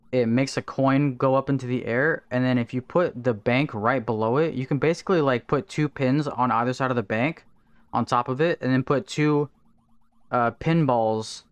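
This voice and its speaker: A man's voice: mid-range voice, a little hiss or hum. Casual male streamer chatting over gameplay. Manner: chatty, answers viewer chat while playing, thinks aloud. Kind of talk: casual livestream gameplay chat. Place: home, in Texas.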